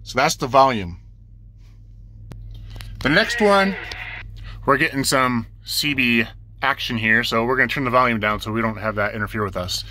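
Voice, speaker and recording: A man's voice: mid-range voice, moderate pace, pauses often, bright, forceful tone, slight background noise. A man giving a casual, off-the-cuff tutorial as an instructor, explaining things plainly step by step and repeating points for beginners.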